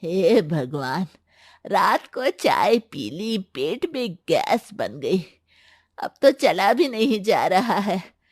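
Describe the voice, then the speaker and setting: A woman's voice: medium pitch, big pitch swings, drawn-out words, slightly rough, dry recording, clean studio sound, exaggerated voice. A woman voicing a demanding middle-aged mother-in-law in a cartoon story, commanding and complaining. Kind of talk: animated Hindi story voice acting.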